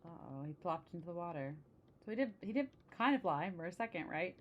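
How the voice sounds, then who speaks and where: A woman with a slightly low voice reads a children's storybook aloud. Her delivery is expressive and exclaiming, broken up by casual asides. There is some noise in the recording behind her. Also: fairly quick, lo-fi sound. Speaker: storybook reader.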